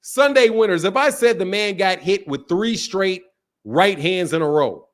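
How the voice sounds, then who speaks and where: A man hosting a casual live-stream boxing talk show, reading out chat comments and reacting in an animated, repetitive way with plenty of swearing and slang. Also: high-pitched, a little slow. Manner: animated, swears freely, repeats himself.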